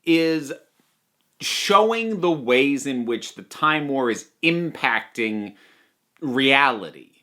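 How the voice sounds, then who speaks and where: A man giving a conversational review, stressing words emphatically with dramatic pauses. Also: mid-range voice, lively intonation, pushed and bright.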